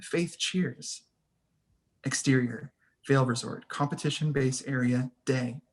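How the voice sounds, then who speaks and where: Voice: medium pitch, takes it slowly. A person reading scene headings and stage directions as scripted narration for a screenplay table read.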